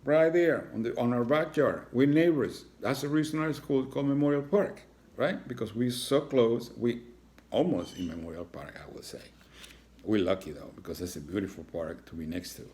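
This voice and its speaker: A man reading a children's story aloud as a teacher, pausing for reassuring, informal explanations to his young listeners. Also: medium pitch, a little mellow.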